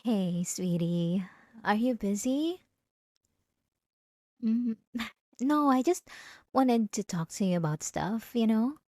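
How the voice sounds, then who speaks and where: A woman playing an obsessive, possessive wife in an ASMR roleplay, speaking in a soft, coaxing, sweetly patronizing manner. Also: mid-range voice, dry recording, clean studio sound.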